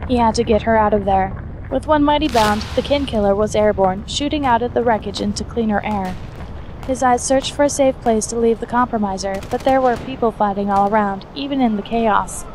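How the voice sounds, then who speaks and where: A woman's voice: fairly high pitch, moderate pace, darkish tone, a little hiss or hum. A woman reading scripted fiction aloud as the narrator of an action fantasy story.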